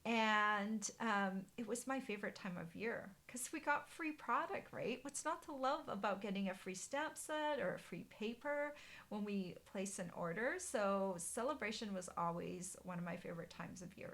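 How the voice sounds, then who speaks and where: A middle-aged woman, German her first language, chatting to viewers in a casual live stream from a bright living room, with many ums and restarts. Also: fairly high pitch. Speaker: papercraft demonstrator hosting a live stream.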